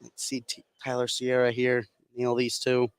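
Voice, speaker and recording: A man's voice: medium pitch, completely flat delivery, normal speed, smooth. A man working as a color commentator, giving conversational, analytical commentary on a live basketball game. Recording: faint gym background noise. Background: basketball game in a gym.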